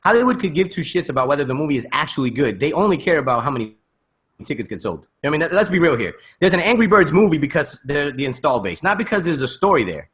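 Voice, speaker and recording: A man hosting a casual panel discussion, opinionated and animated, checking in with rhetorical questions over a video call. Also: fairly high pitch, quick pace. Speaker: podcast host.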